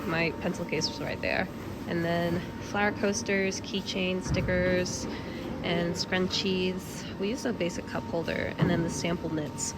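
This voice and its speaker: A young woman chatting casually to camera in a vlog, nasal and hoarse from a cold, thinking aloud with fillers. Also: on the low side, level tone, a little slow, heavy background noise. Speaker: knitting vlogger.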